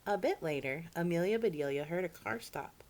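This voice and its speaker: Woman storyteller, lower-pitched voice, very lively intonation, children's read-aloud, expressive. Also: brisk. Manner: expressive, acts out the dialogue.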